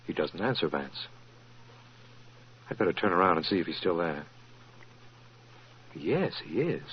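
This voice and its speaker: A man's voice: low voice, big pitch swings, unhurried. An actor playing an earnest, formal male district attorney in 1940s radio drama style. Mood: inquisitive. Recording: muffled, noisy old radio recording.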